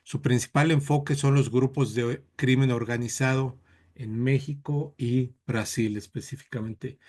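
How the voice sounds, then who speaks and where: A man's voice: mid-range voice, fairly even intonation, unhurried, darkish tone. Man introducing a guest. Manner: polite, hesitant with fillers. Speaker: seminar coordinator introducing the guest. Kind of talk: formal introduction.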